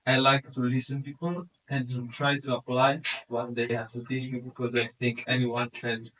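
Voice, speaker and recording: Man, friendly, rambling, mid pitch, distant mic, faint hiss. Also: level tone, moderate pace, pushed and bright. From Morocco, raised in Italy. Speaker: workshop participant.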